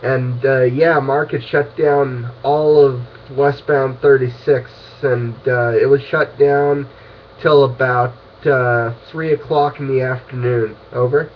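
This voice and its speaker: A man's voice: mid-range voice, steady tone, slow. Man on muffled, scratchy two-way radio. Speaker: amateur radio operator. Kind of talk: casual radio chat.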